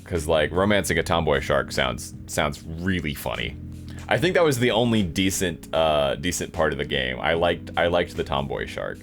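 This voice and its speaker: Very deep-voiced man, casual self-deprecating commentary. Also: big pitch swings, normal speed, gravelly, fairly bright tone, faint music behind. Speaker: host playing own old visual novel.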